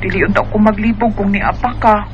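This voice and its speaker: A woman's voice: mid-range voice, fairly even intonation, fairly quick, highs cut off, background noise, close to an everyday voice. An actress playing an earnest young woman in love in a radio drama, pleading and pressing for answers. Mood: troubled, affectionate. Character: earnest, insistent young woman in love with her best friend. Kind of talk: sentimental radio drama acting. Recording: background music under the voice.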